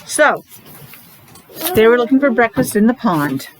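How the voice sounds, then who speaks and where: A woman's voice: fairly high pitch, sing-song, measured pace, crowd noise. A woman teacher reading a picture book aloud to young children, explaining it simply in short, repetitive asides. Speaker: preschool or special-needs teacher.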